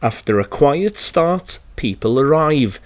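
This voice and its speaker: A man's voice: fairly high pitch, lively intonation, takes it slowly, no echo. Male reporter narrating a scripted feature.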